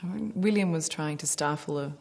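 A woman's voice: deep voice, a little fast, gravelly, darkish tone. A woman putting questions as a television interviewer.

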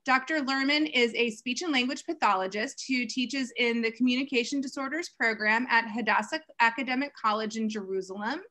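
A woman's voice: a little high, sharp tone. A woman, about thirties, hosting an online lecture series and reading out introductions over a video call. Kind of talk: scripted introduction of speakers. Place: indoor room on a video call.

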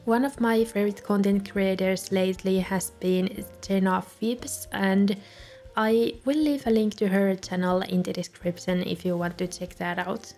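A young woman, a native Finnish speaker, calmly narrating a voice-over for her video with soft laughs between remarks. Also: medium pitch, a little slow, soft background music. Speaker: journaling video creator. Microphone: voice-over recorded separately.

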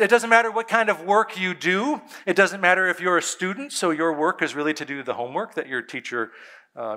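Bright-voiced pastor preaching. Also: male voice, on the high side. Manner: conversational, emphatic, with dramatic pauses and drops to a soft voice. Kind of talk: sermon. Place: church stage before a seated congregation.

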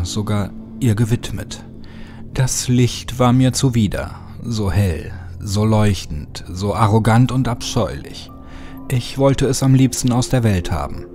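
A man's voice: mid-range voice, dark tone. Brooding male horror narrator over music. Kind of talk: dramatic scripted first-person horror narration.

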